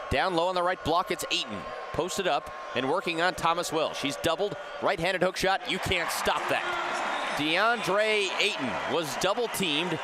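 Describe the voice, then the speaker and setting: A young American man calling live basketball play-by-play on radio with a projected, energetic delivery. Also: fairly high pitch. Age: young adult. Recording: noisy arena with crowd behind. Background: basketball crowd and bouncing balls.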